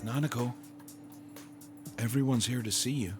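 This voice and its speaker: An actor playing a gruff, middle-aged police detective and worried father in a video game, slightly hoarse. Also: medium pitch, normal speed, darkish tone, faint music behind, natural voice. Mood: concerned.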